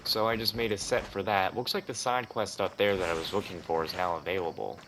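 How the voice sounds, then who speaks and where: A man's voice: low voice, fairly even intonation, fairly quick, noisy background. A man hosting a gaming playthrough with casual, slangy and profane commentary. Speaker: gaming video host.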